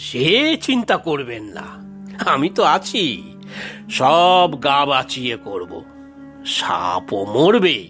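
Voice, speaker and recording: A man's voice: mid-range voice, sing-song, slow, long pauses, fairly bright tone, soft background music. A man voicing a bossy, scheming site manager in a cartoon, fawning or scolding. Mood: fawning, reassuring. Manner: obsequious to superiors, scolding to workers. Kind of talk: cartoon voice acting.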